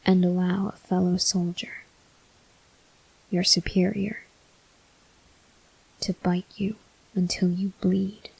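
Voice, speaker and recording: A woman playing a brooding, guilt-ridden male vampire officer in an ASMR roleplay, whispering breathily in slow, halting, formal phrases. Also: on the low side, level tone, gravelly, a little mellow, husky, full character voice, low-quality recording. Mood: weary, grateful.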